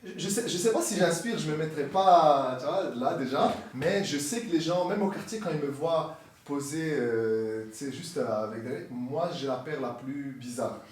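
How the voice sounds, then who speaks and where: A man chatting casually in an interview as a musician guest, relaxed and mixing in English slang, recorded in a sneaker store. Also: fairly high pitch, moderate pace. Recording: echoey store from far off.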